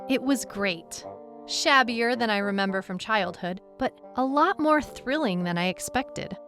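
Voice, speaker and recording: Weary, skeptical detective narrator over music. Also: female voice, on the high side, expressive, a little slow. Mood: brisk, wry. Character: weary, skeptical, tough woman detective narrating her case. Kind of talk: scripted first-person story narration. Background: music bed under the voice.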